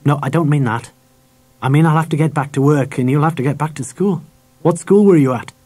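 Man playing an emphatic, talkative schoolmaster, pitched up. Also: a little fast, darkish tone, natural voice. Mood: brisk, reassuring. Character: kindly, talkative middle-aged male schoolmaster, opinionated and brisk.

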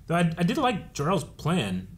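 A man's voice: on the high side, huge pitch swings, moderate pace. A man in his thirties with an American accent, chatting casually as a reaction show host. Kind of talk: casual discussion of a cartoon episode. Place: indoor studio with set shelves.

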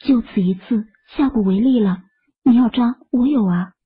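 A woman playing a soft-spoken young wife, gentle in her speech, with a breathy, husky tone. The recording is dull and lo-fi, as in a low-budget audio drama. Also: moderate pace. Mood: playful.

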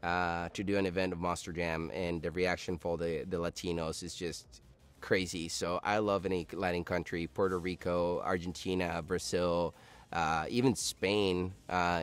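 A man in his thirties from Costa Rica, a monster truck driver, talking enthusiastically with small pauses in an interview, in a dark studio. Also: low-pitched, flat delivery, smooth. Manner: enthusiastic, halting. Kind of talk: interview answer.

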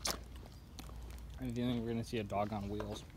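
A teenage boy walking with a friend, tossing in dry deadpan quips from a little way off the phone mic. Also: on the low side, moderate pace, noisy background. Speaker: friend on the walk. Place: outdoors on a street.

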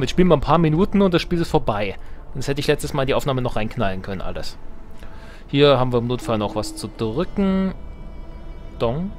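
A man's voice: mid-range voice, slight background noise. A man hosting a let's play, commenting casually on the game with self-ironic, playful asides and reading game text aloud. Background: game music.

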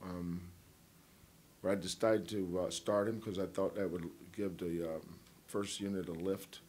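A man coaching a basketball team speaks at a postgame press conference, his voice pitched on the low side. He talks in a folksy, rambling way, reaching for homespun analogies and gentle teasing. Faint room noise sits under his words. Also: fairly even intonation, measured pace.

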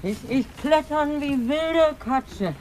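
High-pitched, grumbling old-radio cook. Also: female voice, lively intonation, slow. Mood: indignant, proud. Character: grumpy, proud, fat rheumatic female cook. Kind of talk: 1950s German radio play acting. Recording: noisy old radio play recording.

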